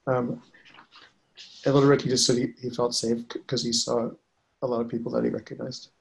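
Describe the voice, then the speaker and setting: A man shares in a support group and reads his notes aloud haltingly, with small laughs between phrases. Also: mid-range voice, level tone, gravelly, poor audio.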